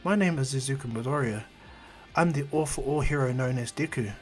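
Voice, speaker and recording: A man voicing a gentle young male hero who speaks softly and reassuringly. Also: medium pitch, fairly quick, soft background music, lightly acted. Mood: tender. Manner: soft, reassuring.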